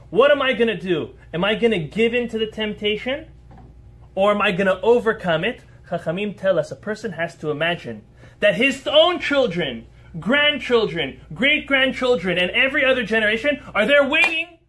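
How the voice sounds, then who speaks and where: A man with a notably high voice teaching as a rabbi before a small live group. He speaks earnestly and emphatically, building his points through rhetorical questions. He answers listeners' remarks on the fly. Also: a bit bright.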